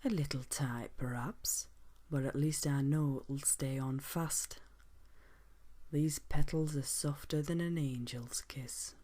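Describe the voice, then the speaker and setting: A woman's voice: low-pitched, steady tone, slow, mellow. A woman voicing a kindly, cheerful little male woodland gnome in a whispered bedtime story. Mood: gentle. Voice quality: breathy whisper.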